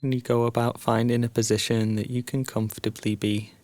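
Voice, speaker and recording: A man guiding a sleep meditation as a narrated story, soothing and hushed, leaving long pauses between phrases. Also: medium pitch, flat delivery, no echo.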